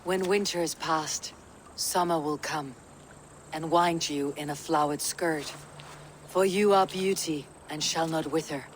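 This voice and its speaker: An actress playing a steadfast, commanding Viking leader in a video game, speaking in a resolute, formal manner. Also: female voice, sits low, fairly even intonation, unhurried, slight background noise. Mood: solemn warmth. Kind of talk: dramatic video game acting.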